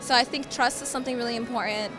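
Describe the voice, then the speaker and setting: A woman's voice: a little high, fairly even intonation, fast talker. A young woman from Sudan, raised in Philadelphia, answering interview questions about her poetry in an earnest, reflective way, often repeating words as she thinks. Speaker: poet and climate refugee advocate. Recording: clear background music under voice.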